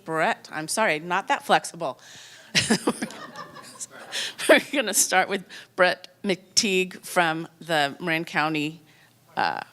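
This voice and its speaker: A woman's voice: fairly low pitch, takes it slowly, pauses often, pushed and bright. A woman hosting a community forum, welcoming the audience and introducing the first speaker in a friendly, slightly scattered way. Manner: friendly, a bit scattered, laughs at her own slip.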